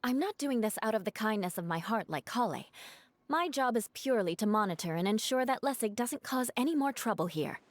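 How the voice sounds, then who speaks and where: A woman's voice: on the high side, lively intonation, a little fast, lightly acted. A woman voicing a proud, aloof young noblewoman in a game, commanding and haughty in manner.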